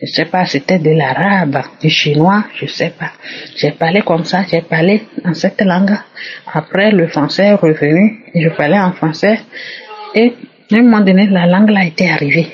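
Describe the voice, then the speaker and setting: A woman's voice: fairly low pitch, moderate pace. A woman giving an emphatic personal testimony, repeating her phrases, on a muffled recording.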